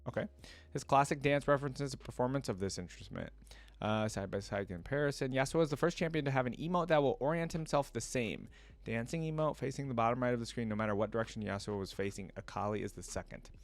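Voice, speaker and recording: A man's voice: medium pitch, fast talker, dry recording. A man hosting a reaction video, thinking aloud and talking to viewers in an informal, slangy, chatty way.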